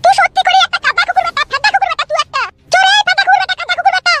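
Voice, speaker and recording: A woman voicing a shrill, sarcastic, foul-mouthed village wife in a comic cartoon. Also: rapid-fire, pushed and bright. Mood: furious. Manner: scolding, sarcastic.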